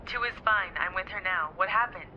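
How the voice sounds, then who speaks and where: A woman playing a calm lieutenant and team leader, clipped and authoritative, heard through a radio comm filter. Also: mid-range voice, a little fast. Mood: calm, concerned. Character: female lieutenant, calm, commanding team leader over comms. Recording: dull telephone-like radio link, faint noise.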